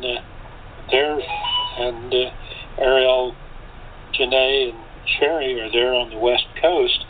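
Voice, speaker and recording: A man talking casually as an interview guest on a remote call, often pausing mid-sentence. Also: medium pitch, fairly even intonation. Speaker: cast member being interviewed. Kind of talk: casual group conversation. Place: rural area in the middle of the country. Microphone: online call. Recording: tinny phone-like call with background noise.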